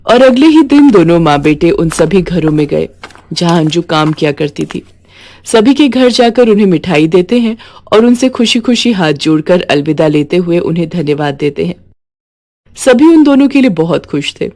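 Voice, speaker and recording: A woman's voice: on the low side, lively intonation, moderate pace, darkish tone. Woman narrating a moral story.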